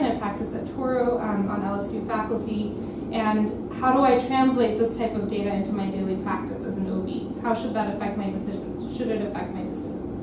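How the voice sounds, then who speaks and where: A woman from New York City, an obstetrician, gives a loud, brisk medical lecture to fellow clinicians in a hospital lecture room. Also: on the high side. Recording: very echoey hall, distant mic, loud room noise.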